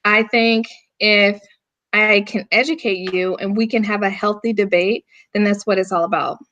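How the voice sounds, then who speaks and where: A woman's voice: medium pitch, steady tone, normal speed, sharp tone, dull audio. Laid-back Southern woman in her thirties. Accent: Southern American. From North Carolina. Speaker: guest, firearms instructor. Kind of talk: casual interview answers.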